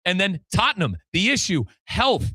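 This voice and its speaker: A man hosts a sports talk show with a very bright, forceful voice set a little high. The sound is close and dry, and he leans on his words emphatically. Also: very animated, normal speed, breathy edge, dry recording. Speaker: sports radio show host and football play-by-play commentator. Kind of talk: animated sports talk with sponsor reads.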